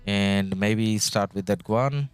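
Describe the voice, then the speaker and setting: A man from Bangladesh, a native Bengali speaker, rambles casually while streaming a strategy game and answering chat. His voice sits fairly low, with soft music playing behind him. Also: takes it slowly, smooth. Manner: chatty, rambling, reads out chat messages. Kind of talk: casual live-stream commentary. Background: background music.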